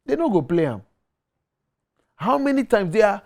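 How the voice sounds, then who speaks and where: A man's voice: high-pitched, sing-song, normal speed, creaky. Ghanaian man, earnest studio interview guest. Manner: earnest, repeats words, drops into pidgin. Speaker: guest musician. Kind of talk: casual interview talk. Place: tv studio set.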